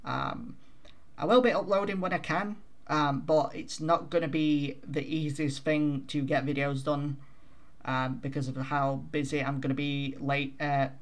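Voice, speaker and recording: A man's voice: on the high side, fairly even intonation, unhurried. A young man chatting casually to his viewers in a self-deprecating, rambling way, full of fillers. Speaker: vtuber channel host. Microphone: desk microphone at home.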